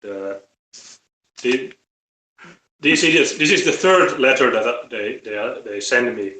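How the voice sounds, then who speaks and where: A man with a Finnish accent talking casually and bluntly on a live stream, halting and repeating his words. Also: medium pitch, expressive, sharp tone. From Finland. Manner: halting, repetitive, blunt with swearing. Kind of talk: casual opinionated live talk. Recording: roomy, echoey live-stream audio.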